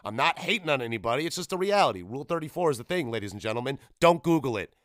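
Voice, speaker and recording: A man with a mid-pitched voice talks casually as the solo host of a gaming podcast. He rambles along irreverently and swears freely. The recording is dry, with very little room sound. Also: very animated, rapid-fire, a bit bright, no room sound.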